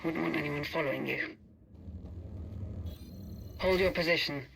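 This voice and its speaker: An actor voicing a businesslike operative in a video game, giving brisk instructions as a remote guide. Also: male voice, a little high, gravelly, fairly bright tone, a little hiss or hum, heavily acted. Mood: focused, instructive. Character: businesslike male operative guiding an agent remotely.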